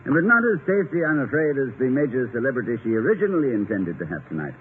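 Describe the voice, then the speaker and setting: An actor playing a dignified, bashful middle-aged college president in a 1950s radio comedy, with cultured diction and a hesitant manner. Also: male voice, medium pitch, sing-song, fast, lightly acted. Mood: genteel, wry. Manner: hesitant, slightly fussy. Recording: tinny, noisy 1950 radio recording.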